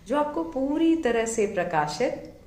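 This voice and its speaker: A woman leading a guided meditation, giving soothing, measured instructions with drawn-out pauses between phrases. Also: a little high, very animated, dark tone, roomy sound.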